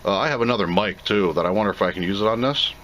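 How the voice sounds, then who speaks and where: A man's voice: lowish voice, racing, fairly bright tone, slight background noise. A plainspoken man giving an off-the-cuff, casual commentary as he reviews and unboxes a product.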